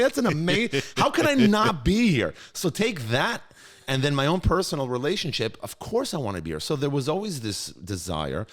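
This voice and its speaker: A man from New York, a guest in a conversational interview, talking with animated swings of pitch and frequent laughs. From United States (New York). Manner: animated, pitch swings wide when passionate, laughs often. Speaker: guest, school co-CEO.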